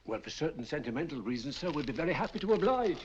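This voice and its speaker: An actor playing an obliging, ingratiating auction-house clerk in a 1940s film, with a husky voice. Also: male voice, a little high, very animated, fast talker, a little mellow, a little hiss or hum. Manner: ingratiating and polite, calling everyone sir. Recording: dull lo-fi old film soundtrack.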